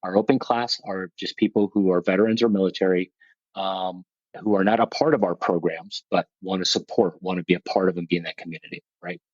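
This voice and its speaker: Low-voiced plainspoken man, dull dry audio. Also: steady tone, a little fast, darkish tone, no echo. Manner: plainspoken, rambling, self-deprecating, frequent restarts. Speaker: guest, veterans motorsport program leader. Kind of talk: conversational interview.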